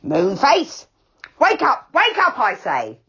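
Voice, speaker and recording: Woman doing a shouting cross old storybook man, scolding, high and swooping, lo-fi sound. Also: huge pitch swings, slow pace, breathy edge, heavily acted. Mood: angry scolding. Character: blustering grumpy male tree creature. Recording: dull lo-fi sound.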